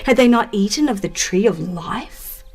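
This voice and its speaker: A woman narrating a scripted religious audiobook in a solemn, deliberate, dramatized way with expressive phrasing. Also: medium pitch, breathy edge, soft background music.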